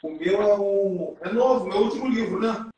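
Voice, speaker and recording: High-voiced Carioca man in an echoey room. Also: moderate pace, warm tone. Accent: Carioca Brazilian Portuguese. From Rio de Janeiro, Brazil. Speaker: apostle, guest. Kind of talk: live video call conversation. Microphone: video call.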